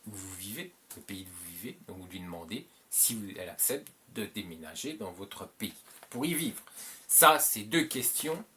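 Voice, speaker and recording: Low-voiced man giving rambling advice. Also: lively intonation, normal speed, bright, forceful tone, a little hiss or hum. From French-speaking. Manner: rambling, repeats himself, many filler "euh"s. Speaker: channel host giving advice. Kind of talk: casual advice monologue.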